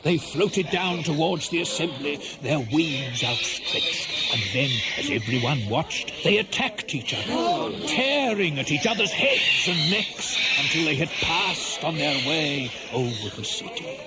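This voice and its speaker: A man narrates a radio drama as a theatrical storyteller. He takes his time, with highly varied pitch, over a clear music bed. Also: huge pitch swings, measured pace, hardly any pauses.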